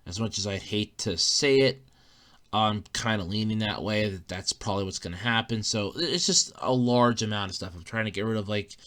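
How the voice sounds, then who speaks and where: A man's voice: on the low side, normal speed, fairly bright tone. A man hosting a casual solo podcast, rambling with frequent fillers and restarts, his throat slightly scratchy. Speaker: podcast host.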